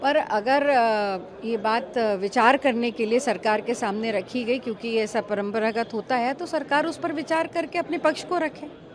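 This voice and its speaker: A woman giving a political sound bite to the press in measured, hesitant phrases. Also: on the high side. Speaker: political interviewee. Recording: outdoor crowd and street noise.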